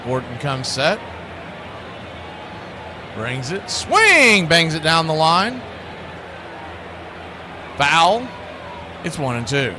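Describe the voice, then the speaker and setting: A man's voice: fairly high pitch, sing-song, drawn-out words, long pauses, background noise. A man doing live baseball play-by-play in a folksy style, fond of nicknames and catchphrases. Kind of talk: live sports commentary.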